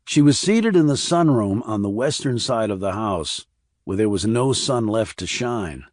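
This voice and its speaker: A man's voice: fairly low pitch, expressive, a little slow, dry recording, clean studio sound. A man reading a crime thriller audiobook as scripted narration.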